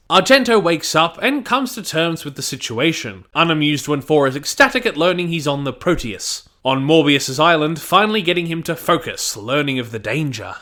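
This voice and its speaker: A man's voice: a little high, a bit bright. A man giving a scripted review and plot commentary. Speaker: audio drama reviewer.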